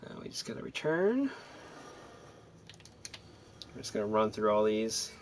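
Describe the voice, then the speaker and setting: A man's voice: on the low side, big pitch swings, measured pace, slight background noise. A man giving casual, rambling commentary on his own video game playthrough, thinking aloud as he goes. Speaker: gamer narrating own playthrough.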